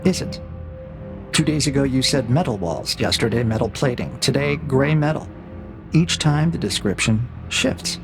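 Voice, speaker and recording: A cold interrogator AI with a male voice, played by an actor, creaky and gravelly at an ordinary male pitch. It speaks in measured, condescending probes with clinical menace, as in a scripted audio drama, with clear music underneath. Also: animated. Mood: clinical taunting.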